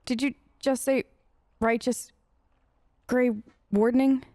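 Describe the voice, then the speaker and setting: A woman with a high voice chats her way through a role-play playthrough. She is sarcastic and conversational, recorded close and very dry. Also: little pitch movement, slow pace, mellow, no echo. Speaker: gaming video host. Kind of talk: improvised in-character role-play let's play.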